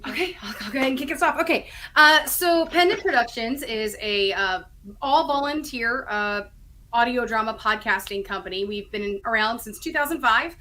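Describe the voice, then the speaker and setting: A woman's voice: on the high side, normal speed, bright, forceful tone, slight background noise. A woman talking casually as an interview guest, explaining things in a chatty, enthusiastic way. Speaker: guest, audio drama writer and co-creator.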